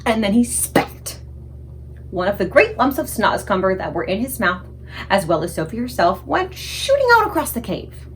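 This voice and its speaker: A woman narrating a children's storybook aloud in an expressive, scripted read-aloud style. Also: mid-range voice, moderate pace, a little hiss or hum.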